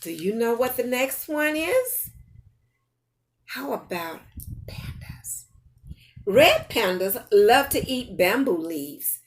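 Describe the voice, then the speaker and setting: An older woman reading a picture book aloud to young children, playful and expressive, often putting questions straight to her listeners. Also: fairly high pitch, slow, pauses often. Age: older, grandparent. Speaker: storytime host reading to children.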